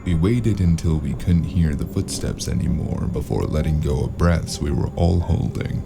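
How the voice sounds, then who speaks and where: A man playing a world-weary, sardonic investigator in a noir audio drama, dry and gruff in both narration and dialogue. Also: very deep voice, lively intonation, moderate pace, over loud music, full character voice. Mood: tense narration.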